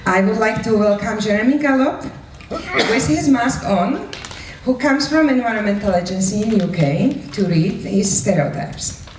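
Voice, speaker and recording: A woman's voice: medium pitch, expressive, normal speed. A non-native English-speaking woman moderating a live event and introducing speakers. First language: not English. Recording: echoey room, distant mic, background noise.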